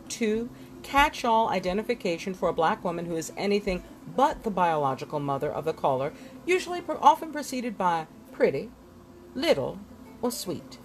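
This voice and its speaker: A woman reading glossary entries aloud from a book in an expressive style, giving headwords deliberate, dramatic emphasis. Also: lowish voice, slight background noise. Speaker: reader of a book.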